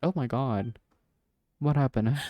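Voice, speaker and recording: A man's voice: medium pitch, slow, highs cut off, a crowd in the background. A man doing casual gameplay commentary, murmuring exclamations and repeating his words as he reacts. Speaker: gameplay streamer.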